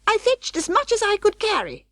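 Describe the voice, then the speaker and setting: A woman voicing a shrill, bossy, argumentative female rat, a busy wife in a storybook. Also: wildly swooping pitch, moderate pace, bright, forceful tone, dry recording, studio quality, full character voice. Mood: snappish, bossy. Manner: argumentative, snappish. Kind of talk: storybook character reading.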